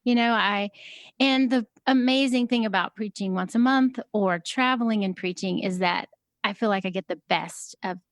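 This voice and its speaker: A woman with a high voice talking casually as a podcast guest, soft-spoken and hesitant, with many fillers. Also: normal speed, no echo, clean studio sound. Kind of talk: casual conversation.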